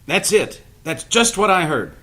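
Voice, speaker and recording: A man acting a gentle, bewildered old man in an audio drama reading, hesitant, earnest and full of wonder. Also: high voice, sing-song, a little slow, exaggerated voice. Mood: wondering, insistent.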